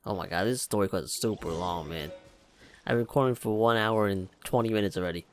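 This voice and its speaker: A man's voice: lowish voice, quick pace. A young man giving casual, self-mocking reaction commentary while playing a video game, often exclaiming. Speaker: gaming YouTuber. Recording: faint game music behind. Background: video game music.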